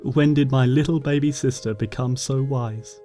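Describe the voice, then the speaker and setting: A man voicing a regal female sun princess pony who speaks softly and affectionately in a storybook reading. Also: medium pitch, fairly even intonation, moderate pace, faint music behind, close to an everyday voice.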